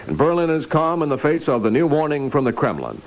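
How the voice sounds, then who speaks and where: A man's voice: fairly high pitch, sing-song, a little fast, low-quality recording. A man reading scripted news as an anchor on a vintage 1960s radio broadcast.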